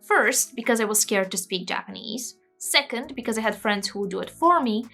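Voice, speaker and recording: A woman's voice: fairly high pitch, lively intonation, normal speed, sharp tone, faint music behind. Candid, self-mocking woman vlogger, Bulgarian native. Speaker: language-learning vlogger. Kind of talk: scripted vlog narration.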